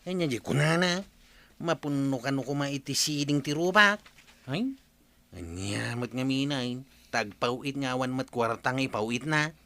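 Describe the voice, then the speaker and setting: A man's voice: medium pitch, expressive, unhurried, bright, forceful tone. A man acting a grumbling, sarcastic character who bickers in an audio drama. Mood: exasperated.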